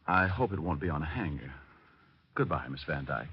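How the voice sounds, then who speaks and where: An actor playing a wisecracking, flirtatious private detective with an American accent and breezy, sarcastic delivery in golden-age radio style. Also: male voice, very deep voice, animated, normal speed, over loud music. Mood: glib, flirtatious. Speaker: lead actor and narrator of detective radio drama. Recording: muffled old radio recording.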